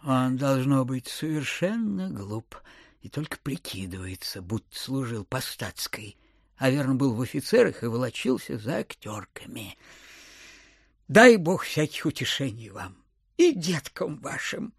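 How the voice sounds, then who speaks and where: An actor playing a miserly, suspicious old landowner in an audio drama, muttering and repeating his words in a querulous, husky voice. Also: medium pitch, big pitch swings, unhurried, no echo, studio quality, full character voice. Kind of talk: Russian audio drama acting.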